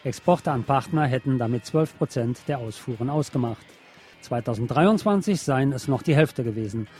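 A man reading scripted news in a measured, formal manner. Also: mid-range voice, lively intonation, a little mellow, soft background music. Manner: measured, formal read-out delivery. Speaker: news reader.

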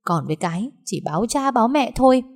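A woman voicing a domineering older mother who scolds and wails loudly. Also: on the low side, expressive, a little fast. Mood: scolding. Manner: scolding, shouting, wailing.

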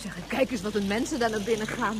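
An actress playing a lively, practical woman in a radio drama, direct and expressive. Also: medium pitch, fast talker, crowd noise, close to an everyday voice. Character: lively, practical woman searching for a missing child.

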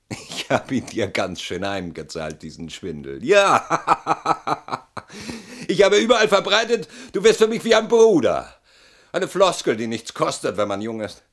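A man's voice: medium pitch, a bit bright. An actor playing a bitter, weary, guilt-ridden man in a theatrical German radio play monologue, moving between murmurs and outbursts. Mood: mocking, agitated. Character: bitter, weary man haunted by guilt, pleading with absent old friend.

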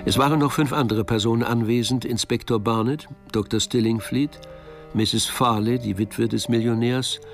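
A man narrating a German radio crime drama in a measured, literary storytelling manner. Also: medium pitch, steady tone, soft background music.

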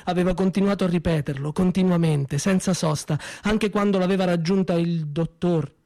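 A man performing a theatrical narrative monologue with expressive, deliberate phrasing and pauses. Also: high-pitched. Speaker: storyteller-actor.

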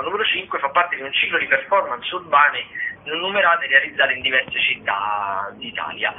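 Guy hosting a radio arts show, kinda raspy voice, chatty and halting, sounds thin like over a phone. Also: mid-range voice. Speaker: radio theatre show host. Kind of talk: conversational radio listings of theatre and dance shows. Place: radio broadcast. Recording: slightly band-limited, phone-like radio sound.

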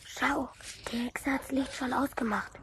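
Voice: steady tone, a little slow, noisy background. A performer playing an uneasy, cautious Bavarian schoolboy in a children's radio play, with a childlike voice and hesitant delivery. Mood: nervous, hushed. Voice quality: high childlike voice. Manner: hesitant, wary.

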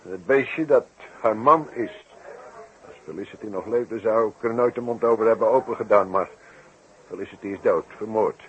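A man's voice: fairly low pitch, normal speed, lightly acted. A man playing a frank circus man in a 1960s Dutch radio drama, confiding in an emphatic, matter-of-fact way. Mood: grave, confiding. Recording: dull, noisy old radio recording.

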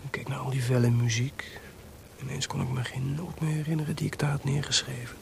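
A man's voice: mid-range voice, fairly even intonation, fairly quick, creaky, background noise, close to an everyday voice. A man playing an anxious, frustrated court composer in a radio drama, agitated and hesitant in manner. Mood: hushed, brooding.

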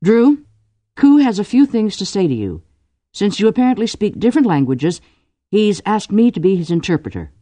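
Casting: commanding, wry noblewoman matchmaker for an audiobook, animated intonation, mellow tone woman narrator performing. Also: medium pitch, normal speed, dry recording, voice pushed up. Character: brisk, wry noblewoman playing matchmaker, forcefully teasing.

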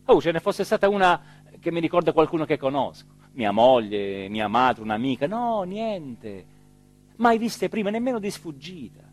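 An actor playing an excitable small-town mayor in a theatrical radio monologue, with an emphatic delivery that swings from hushed to shouted. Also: male voice, on the high side, moderate pace. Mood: puzzled, animated. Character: excitable small-town Italian mayor recounting his dream and a night emergency.

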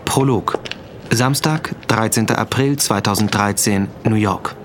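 A man's voice: on the low side, measured pace, noisy background. A man announcing scripted documentary-like headings as a radio announcer.

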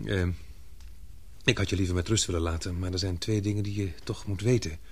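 An actor playing a calm, protective, affectionate man in a radio drama, speaking in a reassuring, measured way. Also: low-pitched. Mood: gentle, informative. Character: Paul, calm, protective, affectionate male sweetheart.

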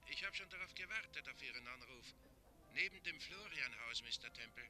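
An actor playing a mysterious man speaking quietly over a telephone line in a radio drama. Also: mid-range voice, expressive, a little fast, soft background music. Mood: secretive.

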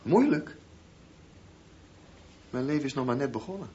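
Man playing a cheeky, lively stubborn boy. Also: mid-range voice, normal speed, close to an everyday voice. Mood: defiant, flippant. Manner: wry, flippant. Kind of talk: radio drama dialogue. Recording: dull, faintly noisy radio play recording.

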